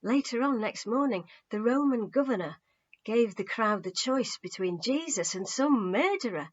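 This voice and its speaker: A woman's voice: fairly high pitch, animated, normal speed, voice pushed up. A woman voicing an earnest, shamefaced young man giving bad news plainly and with regret, on a muffled recording. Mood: regretful.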